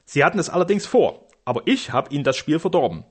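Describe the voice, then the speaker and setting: Blunt mocking brigand, voiced by a male audiobook narrator, a little raised and breathy, storybook villain. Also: a little high, very animated, fast. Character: gruff scheming male brigand on the run.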